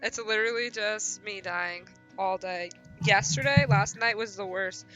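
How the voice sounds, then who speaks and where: A woman's voice: mid-range voice, unhurried, bright, forceful tone. A woman on a casual livestream, rambling in a self-deprecating way and at times reading text aloud. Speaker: streamer and host. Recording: music behind the voice.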